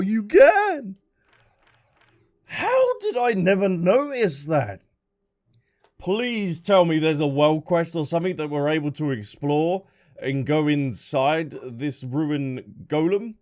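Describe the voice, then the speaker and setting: Animated British man playing games. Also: a little high, slow pace. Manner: chatty, playful, reacts aloud to the game. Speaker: gaming streamer. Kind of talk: casual gameplay commentary. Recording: game music behind the voice.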